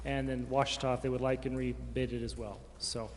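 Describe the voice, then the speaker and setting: Halting, casual man in a reverberant hall. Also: medium pitch, little pitch movement. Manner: halting, self-correcting, casual. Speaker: city staff member answering council questions. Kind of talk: informal spoken explanation. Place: large indoor council chamber. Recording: reverberant council chamber, room noise.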